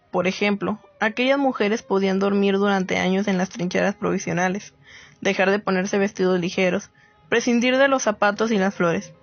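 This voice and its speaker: A woman plays a reflective writer narrating a radio play. Her voice is mid-pitched. It is recorded a bit dully with soft music behind. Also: close to an everyday voice. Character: reflective woman writer gathering war memories. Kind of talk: scripted dramatic narration. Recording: muffled lo-fi audio under music.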